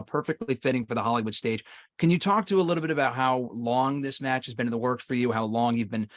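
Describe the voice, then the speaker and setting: A man speaking as a reporter, putting a casual, friendly-worded question on a press call. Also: mid-range voice.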